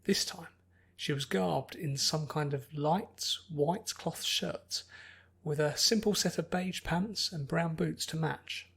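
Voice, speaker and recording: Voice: medium pitch, slow, gravelly, a bit bright, breathy edge. A narrator reading scripted fiction as an audiobook.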